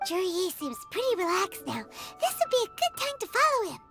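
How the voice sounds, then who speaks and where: Sharp, piping, very high tones from a tiny floating companion girl in a game, who swoops wildly up and down as she chatters. She is excitable and quick to worry. Cheerful game music plays underneath her. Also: full character voice. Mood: curious. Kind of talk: game dialogue.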